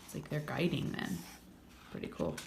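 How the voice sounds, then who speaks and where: Low-voiced woman reading a storybook, very expressive. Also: creaky. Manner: dramatic, drawn-out emphasis. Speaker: storybook reader. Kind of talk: expressive read-aloud narration for children. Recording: echoey room, faint background noise.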